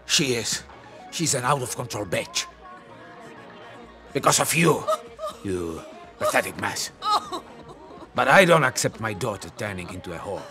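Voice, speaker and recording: Angry russian mob boss dad, forceful, mutters then explodes, game cutscene w faint music behind. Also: male voice, mid-range voice, sing-song, measured pace, pauses often, a faint crowd, heavily acted. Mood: bitter, angry. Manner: brooding mutters swinging into explosive shouting. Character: volatile middle-aged Russian mobster father, drunk, menacing, self-pitying.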